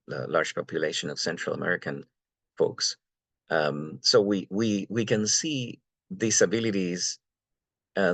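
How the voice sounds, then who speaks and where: Pria bersuara sangat rendah dari Venezuela. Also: male voice, low voice, fairly bright tone, no room sound. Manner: halting, many fillers and repeated words. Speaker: panelist. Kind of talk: academic panel talk.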